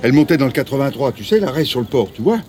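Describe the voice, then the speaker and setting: A male actor playing a worn, middle-aged homeless man haunted by lost love, colloquial and gruff, in a naturalistic radio drama. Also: lowish voice, expressive, moderate pace, natural voice. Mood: agitated, breathless. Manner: colloquial, gruff, emotionally volatile.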